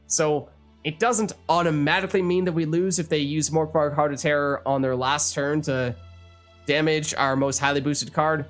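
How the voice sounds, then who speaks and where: A man's voice: normal speed, soft background music. A man talking through a card game run in a let's play, his voice still a little strained as it recovers. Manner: analytical, lively swings in pitch. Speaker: gaming YouTuber.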